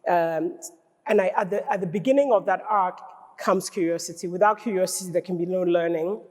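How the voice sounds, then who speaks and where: A middle-aged woman from Ghana gives a keynote from a conference stage, her mellow voice trailing off into the long reverb of a large hall. She speaks thoughtfully, often repeating a word as she finds the next thought. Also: fairly low pitch, brisk. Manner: thoughtful, restarts phrases while gathering her thoughts.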